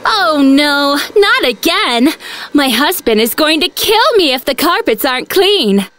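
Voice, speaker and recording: An actress playing a fretful, theatrical 1950s housewife in a vintage radio commercial. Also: female voice, high-pitched, very animated, measured pace, fairly bright tone, slight background noise, heavily acted. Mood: fretful, perky. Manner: exaggerated, theatrical.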